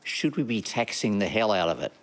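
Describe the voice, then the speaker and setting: A man putting a prepared question to a panel, then talking it through in an earnest, deliberate way. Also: on the low side, sing-song, slight background noise. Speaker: audience questioner.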